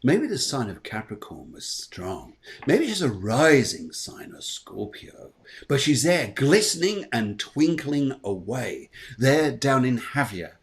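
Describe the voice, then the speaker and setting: Male host, chatty and jovial, for livestream morning chat; repeats his phrases, medium-pitched voice. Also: sing-song, slow. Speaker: show host.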